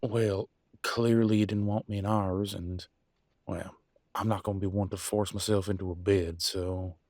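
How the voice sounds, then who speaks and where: A man acts out a deep-voiced werewolf pack leader in a relationship drama, worn down and bitter. He talks in a folksy, colloquial way with a sarcastic edge and deliberate pauses. The sound is close and dry. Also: level tone, a little mellow, no room sound. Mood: weary, sarcastic. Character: weary, embittered male werewolf pack leader and husband. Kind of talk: dramatic one-sided relationship roleplay audio.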